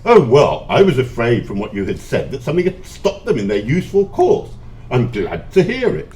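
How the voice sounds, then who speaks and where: A man's voice: medium pitch, wildly swooping pitch, moderate pace, darkish tone. A man acting a miserly, grumpy old businessman in an audio play, curt, scornful and dismissive.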